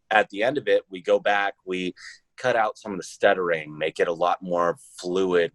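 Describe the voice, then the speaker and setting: A man with a very low-pitched voice gives casual interview answers as a podcast guest. The sound is close and very dry, with no room around him. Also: no echo, rough recording.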